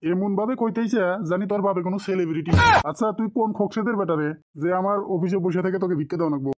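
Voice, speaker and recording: A man's voice: sits high, rapid-fire, a little mellow, muffled recording, lightly acted. A man voicing a stingy, scheming office boss in a cartoon drama, brusque and condescending, in a rural Bengali dialect. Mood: scornful. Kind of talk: amateur cartoon drama dialogue.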